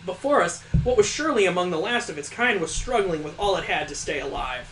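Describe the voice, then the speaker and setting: A man's voice: sits high, lively intonation, fairly quick, fairly bright tone, a little hiss or hum, low-quality recording. A man reading a visual novel aloud as a let's player, breaking in with sarcastic, incredulous asides. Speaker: video game let's player.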